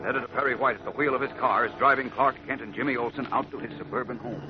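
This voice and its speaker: A man's voice: medium pitch, fairly even intonation, racing, noisy background. A man narrating a radio serial in a grave, dramatic 1940s scripted style. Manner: grave, portentous. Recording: dull old radio recording. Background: loud dramatic music.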